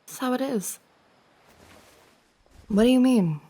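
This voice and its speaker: A woman's voice: fairly high pitch, slow pace, dark tone. A woman playing a friend's giggly, teasing older sister in an intimate, conversational ASMR roleplay. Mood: playful, reassuring.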